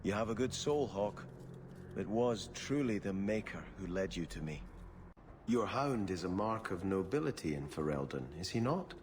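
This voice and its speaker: An actor playing a devout, conflicted young exiled prince in a video game, with a formal, confessional manner. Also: male voice, low voice, animated, moderate pace, slight background noise. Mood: respectful. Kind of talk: video game cutscene acting.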